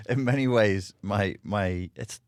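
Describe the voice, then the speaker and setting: A man's voice: fairly low pitch, takes it slowly, no room sound, studio quality. A man talking as a podcast guest, blunt and provocative, laughing often as he argues. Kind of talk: casual debate chat.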